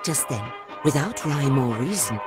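A woman playing the wry storyteller of a quirky radio drama game, narrating in a measured, dramatic way. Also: extremely low pitch, expressive. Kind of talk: scripted narration. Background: music.

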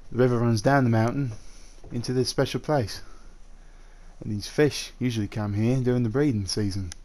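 Soft, coaxing dragon suitor, played by a man. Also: mid-range voice, moderate pace, natural voice. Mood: eager, fond. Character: male dragon in human form, eager possessive suitor. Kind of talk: roleplay ASMR audio drama, addressing the listener.

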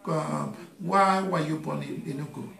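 A man's voice: on the high side, measured pace, creaky, fairly bright tone, reverberant. A middle-aged man hosting a live radio interview in a studio, chatty, repeating his words and laughing often. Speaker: radio show host. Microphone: studio mic on boom arm.

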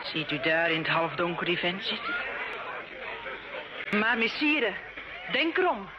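Brash, mocking tavern prostitute for period radio play; flirtatious, noisy vintage sound. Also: female voice, on the low side, big pitch swings, unhurried. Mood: brash, gossiping. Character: brash, teasing tavern prostitute in medieval Rouen. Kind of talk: old radio drama acting. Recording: dull, noisy old radio recording.

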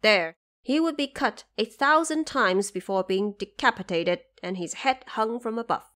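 A woman's voice: mid-range voice, normal speed, a bit bright. Woman narrating a dramatic tale. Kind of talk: scripted dramatic storytelling.

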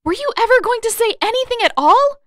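A woman voicing an incensed, betrayed teenage girl in an audiobook story, clear and yelling. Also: very high-pitched, expressive, quick pace, no echo, clean studio sound, voice pushed up. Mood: enraged. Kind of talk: audiobook character acting.

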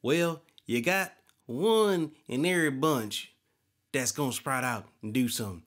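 A man's voice: mid-range voice, lively intonation, a little slow, a bit bright. A man playing a boastful, folksy race car driver turned instructor in a comedy radio interview. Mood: casual.

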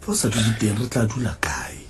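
A man's voice: on the low side, big pitch swings, fast talker, noisy background. An actor playing an exasperated, pleading husband at odds with his wife in a radio drama. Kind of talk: Setswana radio drama acting.

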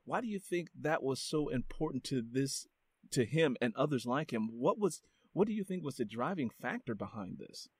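A man's voice: medium pitch, animated, moderate pace, slightly rough, no echo, studio quality. A man hosting a book podcast, chatting in a friendly, rambling way with fillers and restarts. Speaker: podcast host. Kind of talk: casual interview chat.

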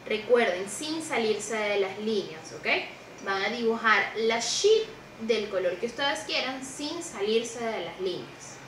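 A young woman teacher with a bright, clear voice and a slow, patient delivery, walking small children through a lesson. She repeats every word twice and keeps checking that they follow. She sounds a little distant, in an echoey room with a faint hiss behind her. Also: a little high, expressive. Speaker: preschool English teacher. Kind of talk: bilingual online class for young children. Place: small room indoors, seated beside a monitor.

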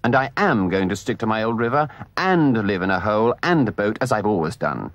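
A man voicing a sceptical, stubborn river rat from a storybook, speaking in a measured, drily understated way. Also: fairly low pitch, expressive, dry recording, close to an everyday voice. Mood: dogged. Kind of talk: storybook character voice.

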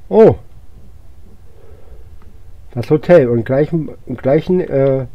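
A man's voice: medium pitch, slow, dry recording, dull audio. A man giving casual let's play commentary as host, musing aloud to himself as he goes. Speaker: gaming channel host.

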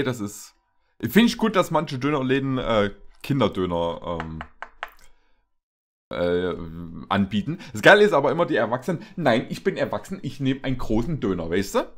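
Animated young man rambling casually. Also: medium pitch. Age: young adult. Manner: halting, repetitive, jokey. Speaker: reaction video host. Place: small indoor room with shelves.

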